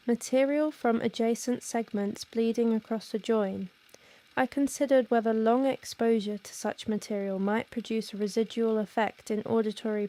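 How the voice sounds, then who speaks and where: A woman's voice: a little high, dark tone, natural voice. A woman playing a rational researcher in a horror audio drama, giving recorded testimony in formal, careful, clinical language. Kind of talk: scripted found-audio horror monologue.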